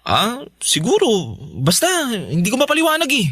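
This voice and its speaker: A man's voice: very high voice, huge pitch swings, moderate pace, fairly bright tone, breathy edge, no echo, exaggerated voice. A man playing an earnest, suspicious young best friend in a Filipino radio drama, hesitant in manner.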